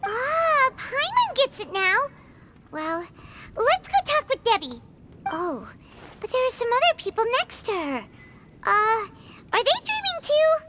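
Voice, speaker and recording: A woman voicing a tiny, excitable, childlike fairy companion in a video game, given to exclamations. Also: very high-pitched, a little slow, heavy background noise, exaggerated voice. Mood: curious. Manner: speaks of self in third person, exclamatory.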